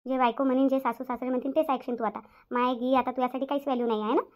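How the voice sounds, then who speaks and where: A woman's voice: high-pitched, steady tone, very fast, darkish tone, lightly acted. A woman voicing a stern, self-pitying middle-aged mother-in-law in a cartoon family drama, nagging with repeated questions in a Vidarbha Marathi accent. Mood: scolding, bitter. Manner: nagging, repetitive rhetorical questions. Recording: dull lo-fi audio.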